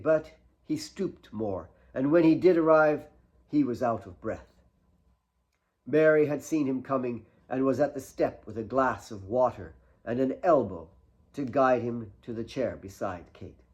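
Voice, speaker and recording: A male author reads his novel aloud as an audiobook. His voice sits at a medium pitch, and the narration is dramatic storytelling. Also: darkish tone. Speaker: author reading own novel.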